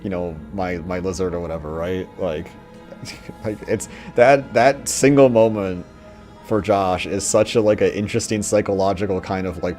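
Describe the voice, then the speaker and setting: A man giving casual, crude commentary while playing a game, rambling with lots of fillers. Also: lowish voice. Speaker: let's play streamer.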